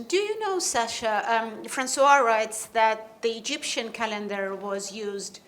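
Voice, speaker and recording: A woman with a fairly high voice chairs a scholarly seminar. She speaks as moderator and questioner, recorded live with faint noise behind her. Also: fairly bright tone. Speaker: session chair.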